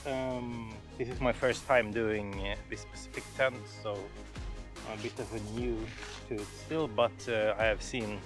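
Relaxed man vlogging outdoors. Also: lowish voice, normal speed, over music. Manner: relaxed, hesitant with many fillers. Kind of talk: casual outdoor vlog. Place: outdoors in a forest by a lake. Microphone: camera held at arm's length.

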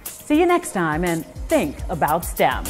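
A woman's voice: on the low side, wildly swooping pitch, measured pace, music in the background. A middle-aged woman hosting a TV show, presenting scripted lines in a polished way from a studio. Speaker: host.

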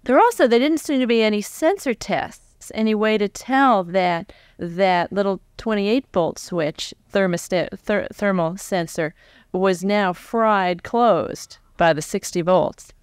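Casting: American woman, forties, interviewer, smooth clear voice at medium pitch, animated and conversational. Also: measured pace, no room sound, clean studio sound. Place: stage before a live audience. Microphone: large foam-covered microphone on a stand close by.

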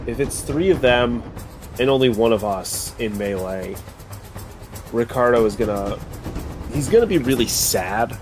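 A man's voice: medium pitch, lively intonation, a little slow, pauses often. A man playing a tabletop role-playing game, talking casually with a wry, sweary edge. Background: prominent background music.

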